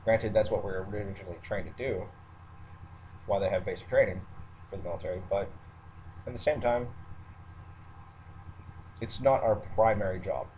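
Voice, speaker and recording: A man's voice: on the low side, mellow, muffled recording. A man giving an unscripted, matter-of-fact monologue, hesitating as he thinks aloud. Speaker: online video ranter who works in the military. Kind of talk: unscripted monologue rant to listeners. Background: background noise, roommate nearby.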